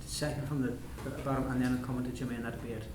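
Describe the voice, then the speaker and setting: Guy chairing a meeting, quick short bits, echoey room w background noise. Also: medium pitch, level tone. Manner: brisk, managing time, short interjections. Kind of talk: chairing a public discussion. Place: large meeting hall.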